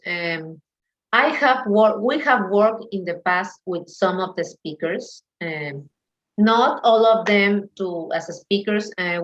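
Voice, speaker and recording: A woman with a Mexican accent, a native Spanish speaker, talking as an interview guest and searching for English words. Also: fairly low pitch. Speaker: panel guest, event organizer. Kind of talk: conversational interview. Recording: dull, lo-fi call audio.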